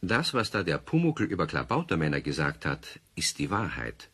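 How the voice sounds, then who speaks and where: A man's voice: extremely low pitch, wildly swooping pitch, normal speed, a bit bright, breathy edge. A man narrating a scripted children's story as the narrator of an audio play.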